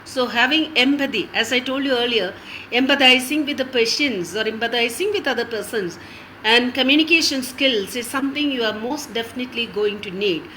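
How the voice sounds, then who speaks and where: Education panelist, woman, bright and forceful, explains point by point, slight background noise. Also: on the high side, brisk, rough recording.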